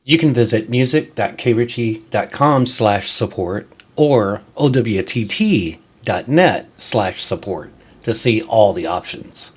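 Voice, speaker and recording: A man hosting his own creator show, talking to viewers in a casual, conversational way with frequent halting pauses. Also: mid-range voice, breathy edge. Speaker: host of a creator video show, musician. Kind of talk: casual scripted-and-ad-libbed vlog to viewers.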